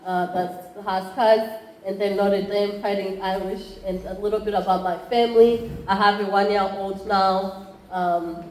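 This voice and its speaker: A woman's voice: medium pitch, steady tone, unhurried, a little mellow. Woman with a Rwandan accent presenting. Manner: conversational, with frequent fillers and restarts. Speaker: entrepreneurship program chair. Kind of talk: conference presentation. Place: conference room. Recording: roomy, faint background ambience.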